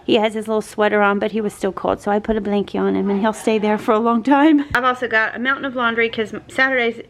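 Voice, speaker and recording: A middle-aged woman chatting casually in a home vlog, hoarse from mild laryngitis and worn out, rambling softly and trailing off. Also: fairly high pitch, little pitch movement, quick pace. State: tired and worn out. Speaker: home vlogger. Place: small closed pantry indoors.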